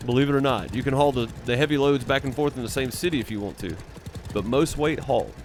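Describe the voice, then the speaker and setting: Mississippi guy chatting with his stream chat, teasing folks, laughs a lot, mid pitch, bit of faint noise behind. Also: fast. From Mississippi, United States. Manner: chatty and teasing, laughs often, restarts phrases. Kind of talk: casual chat with live viewers.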